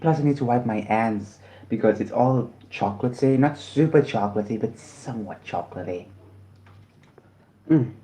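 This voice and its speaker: A man's voice: medium pitch, moderate pace, mellow. A man from South Africa chatting casually on a livestream while eating, rambling and often apologizing.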